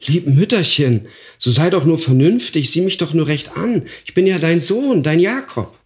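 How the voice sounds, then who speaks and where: A man's voice: medium pitch, lively intonation, moderate pace, close to an everyday voice. Bewildered enchanted boy played by a male reader, earnest and pleading, storybook style. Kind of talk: dramatized fairy-tale audiobook.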